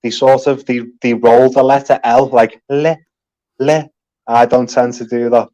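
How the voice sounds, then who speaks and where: A man's voice: medium pitch, fairly even intonation, a little slow, dark tone, highs cut off. A man with a Liverpool accent talking in a loose, rambling way in a casual chat, swearing freely. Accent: Liverpool (Scouse). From England. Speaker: guest.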